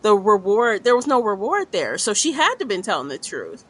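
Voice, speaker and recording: A woman talking casually as a panelist in a podcast book discussion, with an animated, exclamatory and slangy way of speaking. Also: mid-range voice, moderate pace, husky.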